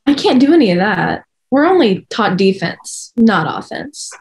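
A woman's voice: mid-range voice, sing-song, measured pace, darkish tone, slight background noise. A young woman playing a casual, hesitant teenage schoolgirl at a script read over a video call. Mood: friendly, hesitant. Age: young adult. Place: bedroom.

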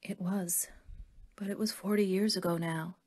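A woman playing a kindly, worried older woman who believes in fairies, gentle and motherly in an audio drama. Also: mid-range voice, fairly even intonation, normal speed, husky, close to an everyday voice. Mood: grave, haunted.

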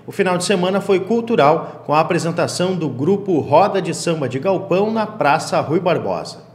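A man's voice: on the high side, normal speed. Young man anchoring local news. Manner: steady formal news reading. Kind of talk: read local news bulletin. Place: small local TV studio.

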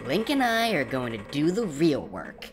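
A woman's voice: deep voice, sing-song, moderate pace. A woman voicing a sensible, skeptical fairy companion with a dry, matter-of-fact manner in a game parody. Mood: dryly skeptical. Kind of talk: fan-dub game parody acting.

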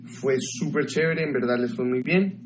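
A young man from Ecuador livestreaming from a small bedroom, talking casually and gossiping as he answers viewers' questions. His voice sits at an ordinary middle pitch for a man. The webcam mic picks up a clear hiss of background noise. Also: normal speed. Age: young adult. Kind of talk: casual chat with live viewers. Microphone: webcam mic at desk.

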